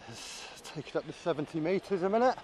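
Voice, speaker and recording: A man's voice: fairly high pitch, expressive, brisk, background noise. A man giving casual, musing running commentary as a vlogger flying a drone, thinking aloud as he goes. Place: outdoors.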